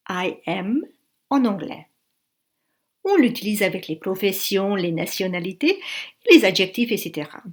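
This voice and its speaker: A woman in her forties teaching a beginner language lesson in a slow, careful classroom manner. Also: medium pitch. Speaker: French teacher.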